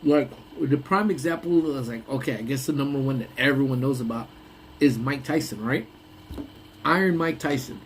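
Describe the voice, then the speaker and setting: A man's voice: medium pitch, moderate pace, slight background noise, poor audio. A young man hosting a casual lunch-break live stream, rambling and laughing often, with a voice that sounds congested. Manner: rambling, laughs often, trails off mid-thought.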